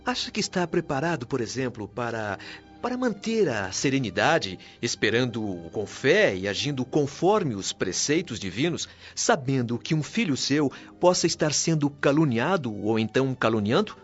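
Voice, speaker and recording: A man's voice: mid-range voice, big pitch swings, moderate pace, natural voice. A Brazilian man playing a wise, patient spirit guide in a radio drama, speaking in a formal, earnest, didactic way. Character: wise, patient male spirit mentor of a heavenly colony. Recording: dull lo-fi audio with music underneath.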